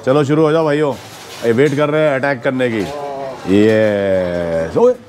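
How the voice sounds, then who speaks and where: A man's voice: medium pitch, unhurried, crowd noise. A man in his fifties hosting a food vlog, chatty and enthusiastic, talking into a lapel mic outdoors. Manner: chatty, enthusiastic, mixes English words into Hindi. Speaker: food vlogger host. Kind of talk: casual food vlog narration. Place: outdoors on busy city streets. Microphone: clip-on lapel mic. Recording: street noise behind.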